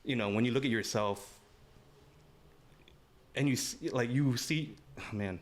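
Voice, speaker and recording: A man's voice: mid-range voice, a little slow, a little hiss or hum. A man co-hosting a podcast in casual chat, laid-back, saying "right, right, right" and swearing freely. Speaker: podcast co-host.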